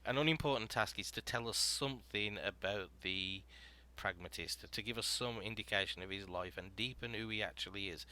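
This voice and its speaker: A man hosting a writing podcast panel, explaining prompts and telling scenes out loud in a casual, rambling way. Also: fairly low pitch, a little fast, few pauses, low-quality recording. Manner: explanatory, storytelling, filler-heavy.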